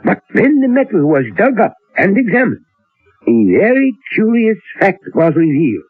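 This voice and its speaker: An actor playing an elderly, learned professor in an old-time radio drama, speaking in a deliberate, musing way with theatrical hesitations. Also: male voice, sits high, very animated, husky, faint music behind, heavily acted. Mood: grave, confiding. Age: older. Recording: old radio recording, thin narrow band, roomy.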